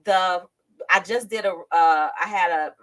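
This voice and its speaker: A woman co-hosting a casual health chat, chatty and quick to respond. Her voice is bright and forward, with a teasing, friendly ease. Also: on the low side. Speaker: podcast co-host.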